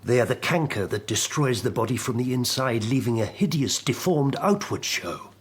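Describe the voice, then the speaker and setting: A man playing a scheming, insistent Elizabethan gentleman petitioner in a radio drama, formal, rhetorical and insinuating. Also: medium pitch, lively intonation, moderate pace, breathy edge. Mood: insinuating, menacing. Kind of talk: period radio drama acting.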